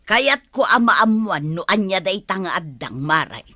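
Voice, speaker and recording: Gossipy neighbour, Ilocano radio comedy: opinionated, insistent, sharp bright tone, very dry sound. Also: female voice, mid-range voice, expressive, a little slow, dry recording. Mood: prying.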